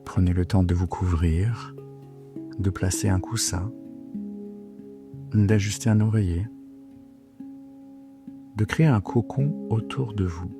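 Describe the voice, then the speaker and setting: A man leading a guided sleep meditation in a soothing, unhurried, hesitant way with long pauses. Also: fairly low pitch. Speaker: yoga nidra guide. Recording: soft guitar music behind the voice. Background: gentle plucked guitar music.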